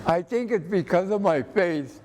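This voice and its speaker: An elderly American man in his eighties, an interview guest speaking earnestly and haltingly, often repeating himself. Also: sits high, expressive, a little mellow. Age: 80s. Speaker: interview guest, army veteran. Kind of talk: personal reminiscence in an interview. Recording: echoey hall with background noise.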